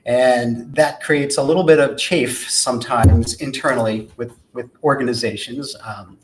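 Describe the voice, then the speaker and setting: A man of middle pitch answers interview questions as a podcast guest. He speaks softly and calls himself a low talker. His answers are measured and articulate, and he often builds them up as lists. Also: steady tone, a little hiss or hum. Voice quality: low, soft-spoken.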